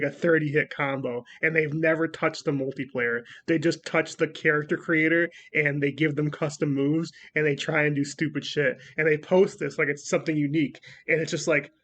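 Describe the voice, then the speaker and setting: Male gaming co-host with a slightly high voice. Casual, blunt and slangy delivery, swears freely. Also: fairly bright tone. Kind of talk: casual chat about video games.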